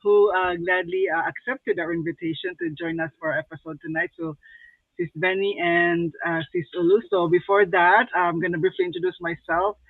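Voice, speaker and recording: A man's voice: high-pitched, flat delivery, normal speed, no echo. A man from the Philippines hosting a live online show, talking hesitantly with many fillers. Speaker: host. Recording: tinny phone-like line.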